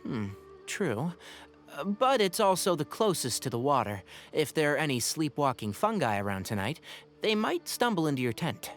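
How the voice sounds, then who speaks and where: A man playing a gentle, sensible young ranger in a video game, mild and dryly teasing. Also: a little high, big pitch swings, moderate pace, faint music behind. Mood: thoughtful.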